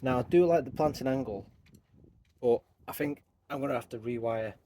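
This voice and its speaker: A man with a croaky voice talking casually through his bonsai work as host of his channel, thinking aloud as he goes, outdoors. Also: mid-range voice, normal speed, dark tone, slight background noise. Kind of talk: casual hands-on tutorial talk.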